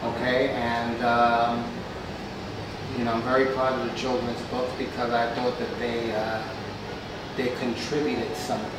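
A man's voice: medium pitch, completely flat delivery, unhurried, distant mic. A middle-aged American man giving an earnest, emphatic conversational interview, often checking that his listener understands. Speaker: interview guest, author and sports executive. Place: glass-fronted stadium suite, indoors. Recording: echoey, noisy, off-mic camera recording.

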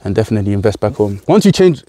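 Young London man, farmer guest, quick and mellow, open-air field interview on a lapel mic; repeats himself as he pushes his point. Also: on the low side, no room sound. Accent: London English. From United Kingdom. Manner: animated, gestures while talking, repeats words. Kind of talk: casual interview. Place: outdoors in a crop field.

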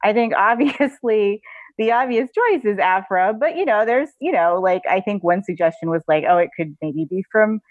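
A woman's voice: medium pitch, lively intonation, normal speed, dry recording. A woman giving a casual, chatty interview as a guest author, in a giggly manner. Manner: chatty, giggly asides mid-sentence. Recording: thin phone-like call audio.

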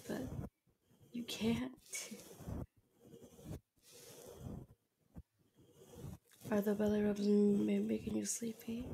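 Slow, creaky soft-voiced doting girlfriend played by a woman. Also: medium pitch, background noise. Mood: adoring, sleepy. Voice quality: soft, breathy, often whispering. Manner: slow, gentle, babying. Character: tender, doting dominant girlfriend comforting her sick partner. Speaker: ASMR roleplay performer. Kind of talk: ASMR girlfriend roleplay. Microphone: close ASMR microphone.

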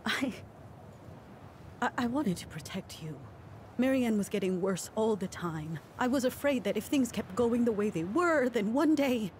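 An actress playing a guilt-ridden, judgmental middle-aged townswoman in a video game drama, with a halting, defensive manner. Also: a little high, animated, darkish tone, a little hiss or hum.

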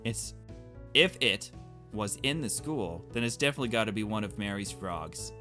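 A man's voice: on the low side, lively intonation, normal speed, pushed and bright. A man voicing a flippant, evasive teenage schoolgirl from a visual novel, with breezy, exasperated teen talk. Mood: dismissive. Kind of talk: visual novel character read aloud. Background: game music.

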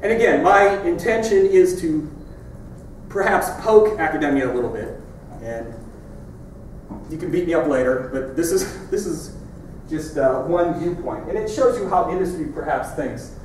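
A middle-aged man speaks to a conference crowd in a big lecture hall, his voice a touch high for a man. He talks in a loose, wry, conversational way, as if chatting with the audience. He is picked up from far off, with the hall ringing heavily behind every word. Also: moderate pace, a little mellow, a little hiss or hum. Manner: conversational, wry, pointing at slides. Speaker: keynote speaker.

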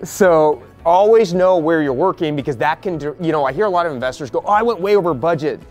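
A man's voice: on the high side, a little mellow, faint music behind. Blunt man explaining a house flip. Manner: blunt, practical, quoting others in quick asides. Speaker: real estate investor leading a property walkthrough. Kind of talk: casual explaining to a group on site. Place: outdoors on a house porch.

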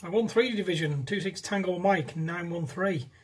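A man's voice: high voice, moderate pace. A British man speaking casually as an amateur CB radio operator, putting out calls. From United Kingdom. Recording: noisy CB radio recording, clicks, static. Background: radio static.

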